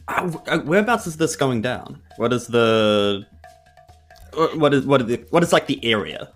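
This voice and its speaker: A man's voice: mid-range voice, expressive, normal speed. A man with a New Zealand accent plays a tabletop roleplaying game, improvising casually. His manner is jokey and informal, with faint music behind his voice.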